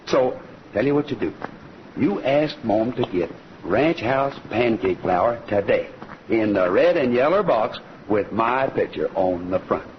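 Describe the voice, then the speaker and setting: An actor playing a genial cowboy rancher who hosts an old radio show with folksy, easygoing talk. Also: male voice, mid-range voice, slight background noise, lightly acted. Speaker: radio show host and pitchman. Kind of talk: 1940s radio show hosting. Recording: muffled old radio recording, loud music.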